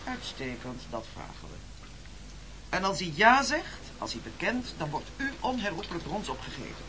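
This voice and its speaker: An actor playing a domineering castaway leader in an absurd radio play, formal, pompous and accusatory, on a clicky old recording. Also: male voice, sits high, big pitch swings, moderate pace, noisy background. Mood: pompous threatening.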